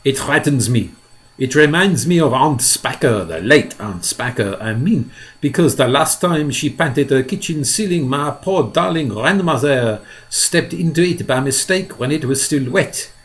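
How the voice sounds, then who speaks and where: A man's voice: medium pitch, animated, unhurried, voice pushed up, close to an everyday voice. A genteel, fussy lady spider, as a male reader puts her on, frets over an old family story. Her voice sits a little above his own, prim and anxious. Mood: fretful.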